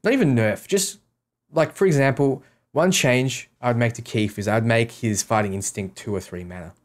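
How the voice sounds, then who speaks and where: A man's voice: medium pitch, moderate pace. A man streaming a game, casually reading out questions and answering them offhand in short, repeated sentences. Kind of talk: casual livestream Q&A.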